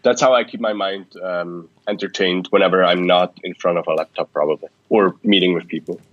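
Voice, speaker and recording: A man from Switzerland, answering interview questions in a relaxed, thoughtful way with frequent restarts. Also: low voice, fairly quick, dull audio. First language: Swiss German. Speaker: guest. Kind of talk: podcast interview.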